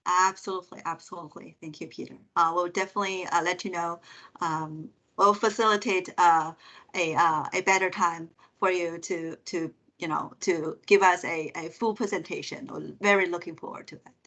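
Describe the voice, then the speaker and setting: A woman hosting an online meetup informally on a video call, searching for words in a halting way. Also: on the low side, steady tone, pushed and bright. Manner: halting, searching for words, often repeating "you know". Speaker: meetup host.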